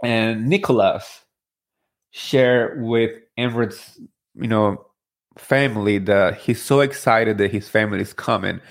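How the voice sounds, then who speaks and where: A man's voice: on the low side, slow pace, vocal fry. A man from Spain with a Spanish accent hosting a podcast, talking through his thoughts in an earnest, heartfelt way with many fillers and restarts. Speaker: podcast host. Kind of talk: casual solo commentary.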